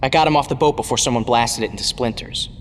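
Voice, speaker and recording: A brash, earnest young crime fighter played by a man in an audio drama. The mid-pitched voice is direct and streetwise, heard with long reverb over a noisy ambience. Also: quick pace, close to an everyday voice. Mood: urgent, regretful.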